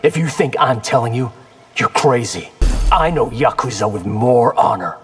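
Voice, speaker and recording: An actor playing a wry, defiant, earnest young ninja warrior in a video game. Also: male voice, mid-range voice, expressive, normal speed, husky, soft background music.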